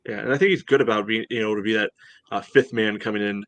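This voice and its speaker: A man's voice: on the low side, fast, a bit bright. A man co-hosting a sports podcast, chatting casually in a rambling way, peppered with "you know". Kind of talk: casual soccer match discussion.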